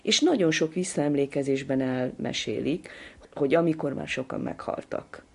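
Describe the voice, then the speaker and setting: Older woman telling anecdotes, low-pitched. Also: gravelly, a little mellow, husky. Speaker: ethnographer being interviewed. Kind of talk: anecdotal interview talk.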